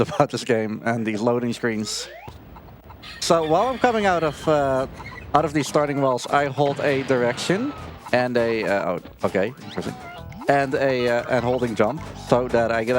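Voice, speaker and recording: A man giving casual, rambling live commentary as a speedrunner, halting at times while he plays. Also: mid-range voice. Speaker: speedrunner commentating own run.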